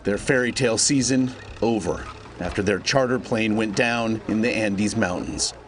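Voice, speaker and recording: Measured male news reporter. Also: fairly low pitch, slight background noise. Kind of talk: scripted news report narration.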